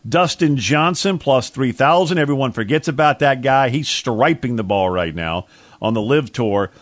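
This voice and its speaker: A man's voice: mid-range voice, animated, normal speed, no room sound. A man co-hosting a sports radio show, talking casually in a colorful, slangy and hyperbolic way. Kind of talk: casual sports talk and betting picks.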